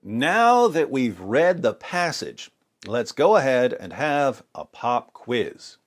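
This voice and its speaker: A man's voice: mid-range voice, sing-song, slow pace. A man giving polemical religious commentary with scripture readings, in an emphatic, sarcastic and theatrical way.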